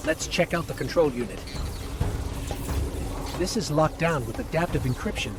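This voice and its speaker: A man's voice: a little high, normal speed, background noise, close to an everyday voice. A man voicing a small, earnest, helpful robot companion in a video game, precise and encouraging. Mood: focused. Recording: loud music behind.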